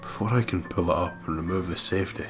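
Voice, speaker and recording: Deep-voiced man narrating dramatically over loud music. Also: quick pace. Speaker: let's play host reading a visual novel aloud. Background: loud game music.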